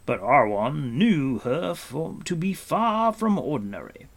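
A man voicing a wise, commanding warrior in a storybook, grave and patient, speaking formally and with deliberate emphasis. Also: fairly high pitch, very animated, gravelly, pitched above the performer's own voice, full character voice. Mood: solemn.

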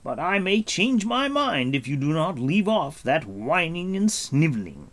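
A man's voice: a little high, very animated, measured pace, fairly bright tone, pitched above the performer's own voice. A man voicing a stern, impatient warrior prince who speaks firmly, in a storybook reading. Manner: firm, impatient, severe.

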